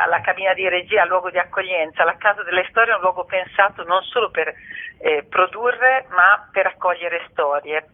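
A woman answering interview questions as a theatre artist, talking passionately with emphatic repetition. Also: on the low side. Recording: thin telephone line.

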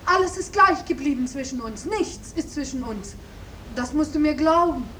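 Young peasant maid, very high voice, earnest, steadfast, old radio drama, faint hiss, distant. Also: female voice, lively intonation, normal speed, sharp tone. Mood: anxious, pleading. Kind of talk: 1950s German radio drama acting. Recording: faintly hissy, distant 1950s radio recording.

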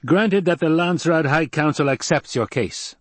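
A bearded desert planetologist, blunt and wary, who answers in curt, clipped words, as a male narrator puts him on. The voice is husky and dry, set close to the microphone. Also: on the high side, unhurried, no room sound, voice pushed up. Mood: guarded. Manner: curt, terse replies.

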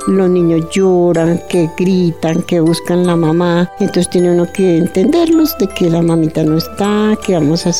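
A woman's voice: fairly low pitch, moderate pace, few pauses, over music. An older woman talking casually in an interview about her own work. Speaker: childcare worker interviewee.

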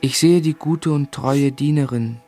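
An actor playing a melancholy man who narrates his sorrowful boyhood in measured, literary style. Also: medium pitch, mellow, faint music behind, natural voice. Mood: reflective, melancholy. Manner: measured, reflective.

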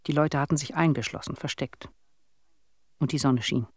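A woman's voice: rumbling low, moderate pace, darkish tone, a little hiss or hum. An older actress playing a lonely, sorrowful old village woman, telling her story plainly and reflectively in a radio drama monologue. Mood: quiet sorrow.

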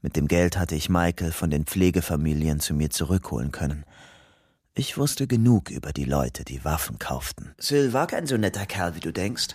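A man's voice: low voice, animated, moderate pace, darkish tone, slightly rough, no echo. An actor playing a young ex-convict father-to-be in a radio crime drama, terse and hard-boiled, partly narrating his own story. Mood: hard, matter-of-fact.

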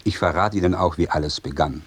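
A man's voice: deep voice, quick pace, slightly rough. A man playing an agitated, complaining servant in a theatrical radio comedy, declaiming with exasperation and pleading. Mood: exasperated, confiding. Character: agitated, complaining male servant of a mad master.

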